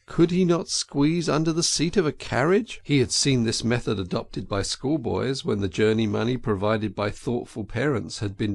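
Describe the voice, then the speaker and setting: A man narrating an audiobook in an expressive, theatrical and deliberate storytelling style. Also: medium pitch, creaky.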